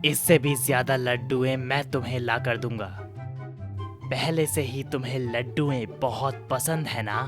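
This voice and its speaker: A man acts as a scheming sorcerer in a children's cartoon tale. He is grandiose and menacing, hungry for magic power. The voice is mid-pitched, with music playing clearly behind it. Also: fairly even intonation, measured pace, lightly acted. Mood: coaxing. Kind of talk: children's cartoon story acting.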